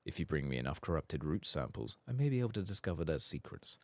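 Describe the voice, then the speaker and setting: A very deep, creaky temple guardian, acted out by a man, speaks softly and gravely in fantasy game dialogue. The delivery is formal and measured, full of devout solemnity. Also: very animated, no room sound, full character voice. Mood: solemn, resolute. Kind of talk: soft-spoken reading of video game dialogue.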